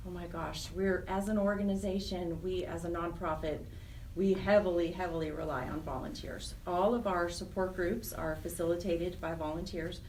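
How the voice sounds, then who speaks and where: A woman's voice: fairly low pitch, fairly even intonation, moderate pace, darkish tone, far from the mic, a little hiss or hum, rough recording. A woman speaking conversationally and earnestly as a nonprofit director being interviewed, stressing points by repeating words. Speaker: nonprofit program director, radio interview guest.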